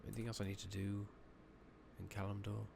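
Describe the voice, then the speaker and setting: A British man giving soft-spoken, partly whispered ASMR commentary on a video game, muttering casually to himself on a close microphone. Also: fairly low pitch, flat delivery, vocal fry. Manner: casual, unhurried, muttering to himself, mild swearing. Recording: noisy mic with keyboard clicks.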